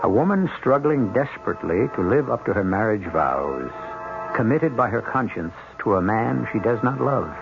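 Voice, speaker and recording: A man's voice: on the low side, very animated, moderate pace, gravelly. A man narrating a radio mystery as host in an ominous, theatrical style. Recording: muffled old radio broadcast. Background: dramatic music.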